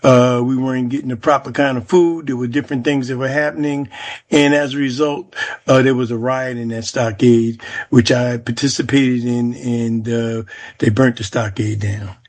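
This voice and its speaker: An American man in his seventies, from New Jersey, shares personal history as an interviewee. His voice sits at a middle pitch. He speaks reflectively and haltingly, pausing often as he gathers his words. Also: flat delivery. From Jersey City, New Jersey, United States. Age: 70s. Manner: reflective, halting, with frequent pauses. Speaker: interviewee, Vietnam War veteran.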